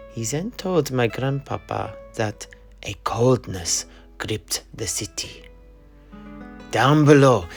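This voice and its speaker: A man playing a mercenary knight in an audio play, retelling his grandfather's tale in a measured, dramatic way. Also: on the low side, gravelly, heavily acted. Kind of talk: scripted audio play storytelling. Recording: plucked guitar music underneath.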